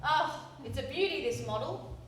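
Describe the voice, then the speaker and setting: A woman playing a spirited young garage owner in a live radio play, brisk and quick-witted. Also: high-pitched, lively intonation. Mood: breezy. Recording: roomy stage sound from a distance, with music.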